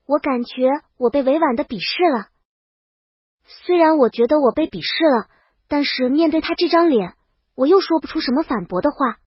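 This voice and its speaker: Casting: female audiobook narrator, high voice, brash comic storytelling in the first person, dry and slightly dull sound. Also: expressive, moderate pace, dry recording.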